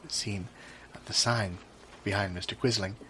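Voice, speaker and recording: Man playing a patronizing, low-voiced store manager. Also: fairly even intonation, bright, forceful tone. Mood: measured. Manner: hesitant, measured, condescending. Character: patronizing, placating male store manager, decorous. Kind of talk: improvised audio comedy sketch. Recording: clear background ambience noise.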